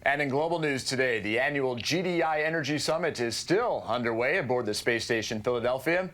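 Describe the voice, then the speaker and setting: A man's voice: mid-range voice, lively intonation, fairly quick, fairly bright tone, natural voice. A man playing a polished television news anchor, projecting a formal broadcast read in a video game. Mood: upbeat, formal.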